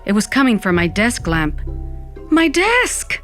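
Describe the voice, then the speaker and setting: A woman's voice: medium pitch, wildly swooping pitch, measured pace, a bit bright. A woman playing a sardonic undead woman, narrating an audio fiction in the first person. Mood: nostalgic. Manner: wry, dramatic, peppered with Spanish exclamations. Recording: music bed under the voice.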